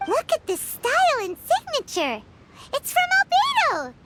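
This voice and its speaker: A tiny floating fairy companion with an extremely high, squeaky voice that leaps wildly up and down. She is excitable and chatty, bouncing between whines and cheers in a video game, with loud clicks in the game audio behind her. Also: normal speed, heavy background noise, full character voice. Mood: explaining. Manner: bouncy, whiny, exclaiming.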